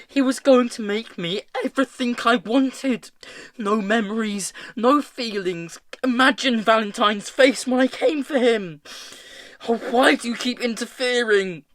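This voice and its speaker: Bitter, anguished girl, played by a male narrator: high, shrill, shouted accusations, storybook drama. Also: measured pace, no room sound. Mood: furious anguish. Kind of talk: audiobook character acting.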